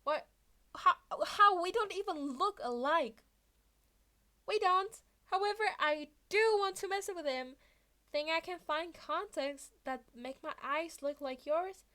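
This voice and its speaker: A woman voicing an excitable, mischievous teenage schoolboy in a story reading, exclaiming and stammering. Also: extremely high pitch, slow pace, voice pushed up, exaggerated voice. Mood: gleeful, incredulous.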